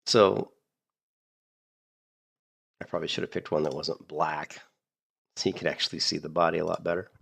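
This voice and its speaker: A man in a casual, rambling chat about guitars, losing his voice a little and clearing his throat often. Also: sits low, steady tone, moderate pace, pauses often, a bit bright. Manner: rambling, repeats himself, frequent throat clearing. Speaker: streamer.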